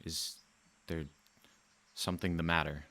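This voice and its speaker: Low, bright-voiced flirtatious lover. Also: male voice, level tone, a little slow, exaggerated voice. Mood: defensive, hesitant. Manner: playful, theatrical wooing. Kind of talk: radio play acting.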